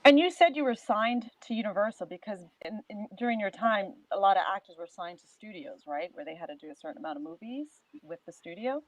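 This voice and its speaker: A woman's voice: mid-range voice, animated, quick pace, few pauses, low-quality recording. A woman co-hosting a casual interview. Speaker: interview co-host.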